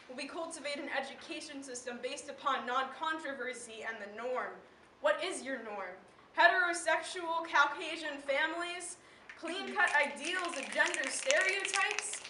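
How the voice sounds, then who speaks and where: High, bright teenage girl performing slam poetry. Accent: American. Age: teen. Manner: impassioned, emphatic, declamatory with deliberate pauses. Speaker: slam poet. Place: live event in a room. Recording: roomy, distant mic, faint background noise.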